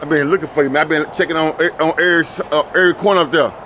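Man, quick talk, slightly high voice, street interviewer, faint outdoor noise. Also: fairly even intonation, pushed and bright. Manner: quick, teasing, repeats himself. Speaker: street interviewer and channel host. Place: outdoors by a building and parking lot.

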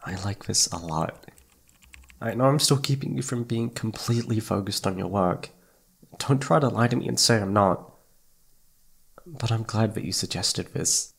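A man playing a needy, affectionate young boyfriend in an intimate ASMR roleplay, speaking in a soft, pleading, hesitant way. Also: on the low side, moderate pace, gravelly, pauses often, husky. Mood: cozy, affectionate. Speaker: audio roleplay voice actor.

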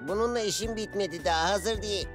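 A man voicing a hesitant, cautious male artist friend in an animated children's cartoon. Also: sits high, quick pace, lightly acted. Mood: puzzled. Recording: music behind.

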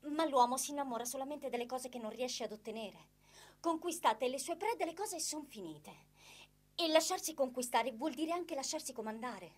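A woman's voice: sits high, expressive, moderate pace. A woman playing a coy, guarded young noblewoman in love, in a theatrical radio drama. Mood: coy, confiding.

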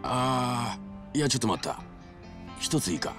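A man playing a scruffy middle-aged buddy in a game, rough, teasing and gruffly affectionate. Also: medium pitch, very animated, normal speed, close to an everyday voice. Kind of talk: Japanese game voice acting. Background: music.

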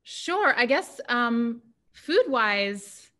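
A woman's voice: on the high side, very animated, very slow, bright, forceful tone. A woman cookbook author speaking in a chatty way and laughing easily on a video call from home. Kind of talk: panel answer. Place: home room.

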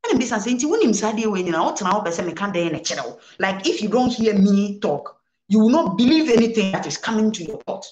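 A Ghanaian woman reverend speaks with earnest conviction, moving between English and Twi. Her pitch rises and falls in lively swings as she repeats phrases for weight. The studio recording carries a noticeable roomy echo. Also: fast, highs cut off. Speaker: reverend guest. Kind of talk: preaching interview answers. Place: studio set, indoors.

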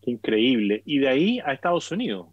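A man's voice: medium pitch, very animated, dry recording. Male interviewer, curious and probing; dull, dry call audio. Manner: curious, asks quick follow-up questions. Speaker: host. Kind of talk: interview over video call.

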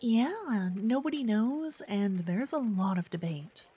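Woman acting a soft-voiced, pushy, obsessive fangirl. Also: fairly high pitch, animated, slow pace, husky, exaggerated voice. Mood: insistent, teasing. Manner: chatty, coy, insistent, slipping into whispers. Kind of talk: ASMR roleplay.

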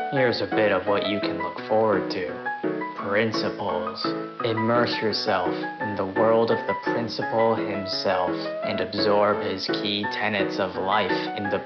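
Man acting a low-pitched solemn promo narrator. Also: natural voice. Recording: dull lo-fi 1990s VHS sound.

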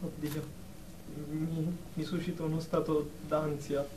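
A man's voice: on the high side, level tone, takes it slowly. A man acting an anxious, short-tempered patient, hesitant and defensive, in a radio drama. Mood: frightened. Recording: echoey, distant, noisy amateur recording.

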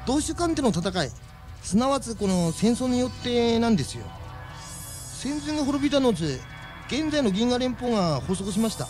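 A man's voice: extremely high pitch, very animated, normal speed, darkish tone, faint music behind. An actor playing a folksy, chatty alien man who explains things in a Japanese radio drama. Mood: explanatory, chatty.

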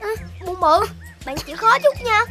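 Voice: very high-pitched, sing-song, moderate pace. A performer voicing a clever, caring young puppy leader in a children's cartoon, earnest and instructive, with a southern Vietnamese accent. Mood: urgent. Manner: earnest, instructive, taking charge. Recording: music behind the voice.

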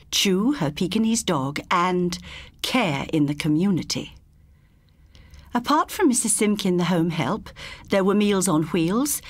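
A woman narrating a scripted short story as an audiobook reader, expressive and measured. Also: mid-range voice, no room sound.

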